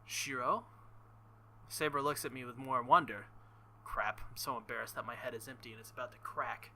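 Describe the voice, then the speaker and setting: Bright, forceful man doing animated game commentary. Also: medium pitch, moderate pace, gravelly, slight background noise. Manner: animated, sweary, joking asides. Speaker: video game playthrough host. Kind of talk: gameplay commentary reading visual novel lines aloud.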